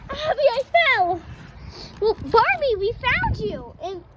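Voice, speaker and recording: A child's very high, swooping voice narrates improvised doll play as host of the video. The recording is very noisy, with clicks and rustling from an outdoor yard. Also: sing-song, slow. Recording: loud noise with clicks and rustling.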